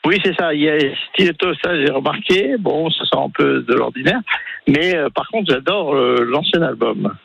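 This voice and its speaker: A man's voice: on the high side, expressive, moderate pace, creaky, breathy edge, no room sound, muffled recording. A man calling in to a radio show, chatting casually in a hesitant, agreeable way and often repeating his words. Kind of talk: casual chat.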